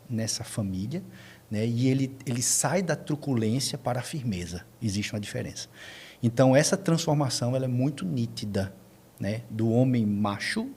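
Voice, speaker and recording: A Brazilian man with a husky, rough-edged voice at a medium pitch speaks as a podcast guest. He explains things conversationally, deliberately and a bit haltingly, repeating words as he goes. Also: darkish tone.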